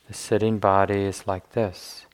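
A man leading a guided meditation as a teacher, speaking gently in unhurried phrases with long pauses. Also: lowish voice, flat delivery.

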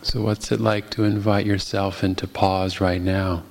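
A man guiding a meditation as a teacher, speaking gently and unhurriedly with long pauses. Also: sits low, little pitch movement, creaky. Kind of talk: guided meditation.

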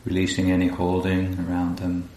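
Male meditation teacher: very flat, low delivery, slow and calm with long gaps, recorded in a reverberant room. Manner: calm, unhurried, long pauses between phrases. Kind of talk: guided meditation. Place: indoor room at a group retreat. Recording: roomy echo, faint low rumbling noise.

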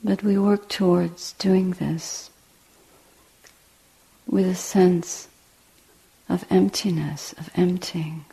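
A woman meditation teacher leading a guided meditation in a breathy, hushed voice, with deliberate short phrases and long pauses. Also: on the low side, steady tone, poor audio. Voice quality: husky, breathy, often near whisper.

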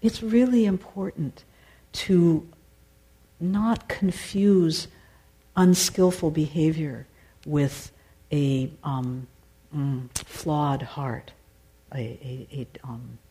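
Mellow-voiced woman teaching meditation. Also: deep voice, drawn-out words, poor audio. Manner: thoughtful, searching, many fillers and restarts. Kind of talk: answering audience questions after a dharma talk.

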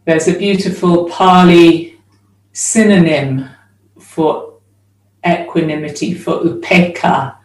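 A woman leads a guided meditation at a very unhurried pace. She speaks in short, measured phrases separated by long pauses, at a middle pitch. The sound is echoey and picked up at some distance from the microphone. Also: darkish tone. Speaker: meditation teacher. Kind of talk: guided meditation, slow spoken instruction. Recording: roomy echo, distant microphone.

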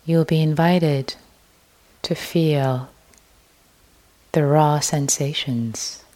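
A woman meditation teacher leading a guided meditation in a gentle, hushed way, leaving long pauses. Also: deep voice, fairly even intonation.

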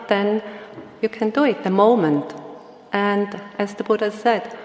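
A woman meditation teacher giving a Dhamma talk with a slow, gentle instructional delivery. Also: mid-range voice. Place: meditation hall. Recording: roomy hall with long reverb.

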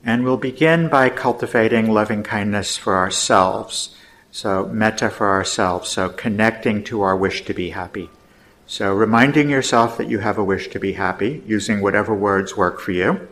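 Male meditation teacher with a lowish voice, leading guided practice gently, leaving pauses between instructions.

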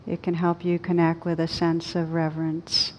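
A woman meditation teacher leading a slow guided meditation in a gentle, soothing, unhurried manner with long pauses. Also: completely flat delivery. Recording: dull lo-fi recording with rumbling background noise.

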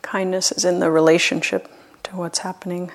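A woman guides a meditation in a fairly low voice with a pronounced creak. Her delivery is gentle and unhurried. She leaves long pauses between short phrases, as a teacher leading a practice. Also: flat delivery. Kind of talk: guided loving-kindness meditation.

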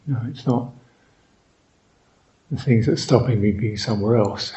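A man guiding a meditation as a Buddhist teacher, speaking reflectively in short, halting phrases with long pauses. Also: fairly low pitch, warm tone, muffled recording. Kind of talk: guided meditation, unscripted and reflective.

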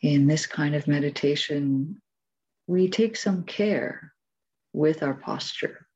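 A woman leading a guided meditation as its teacher, giving gentle, measured instructions with long pauses. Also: deep voice, slightly rough, muffled recording.

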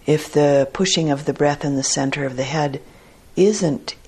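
A woman teacher guiding a meditation in a measured, deliberate way with long pauses and a husky, soft-edged voice. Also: sits low. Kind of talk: guided meditation instruction.

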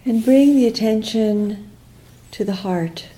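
Very mellow, slow-speaking woman guiding meditation. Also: fairly high pitch, poor audio. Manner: soft, unhurried, with long pauses between short phrases. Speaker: meditation teacher. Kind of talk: guided meditation.

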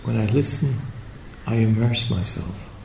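A man leading a guided meditation as a teacher, speaking reflectively in short phrases with long pauses between them. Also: lowish voice, vocal fry, a little mellow. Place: indoor room at a meditation retreat. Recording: roomy echo with faint background noise.

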